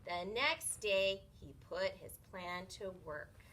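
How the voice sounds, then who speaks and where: A woman reading a children's story aloud in an expressive, teacherly way, pausing to put questions to her listeners. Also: fairly low pitch, slight background noise, poor audio.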